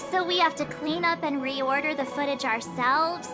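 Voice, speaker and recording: A woman voicing a cheerful, naive teenage girl adventurer in a video game, with a bubbly, expressive manner. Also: high voice, unhurried, music in the background. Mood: supportive. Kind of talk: video game English dub acting.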